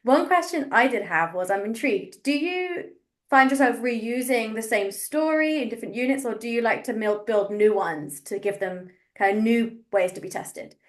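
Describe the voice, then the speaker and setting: A woman's voice: on the high side, moderate pace. A woman hosting a webinar, informally moderating questions and answers. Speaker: webinar host.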